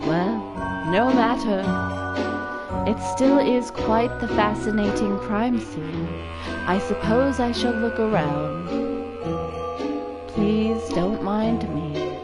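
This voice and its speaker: A woman's voice: medium pitch, slow, long pauses, loud music behind the voice, natural voice. A woman voicing a morbid bird doctor, male, who speaks in a formal and politely sinister way. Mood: morbid, detached.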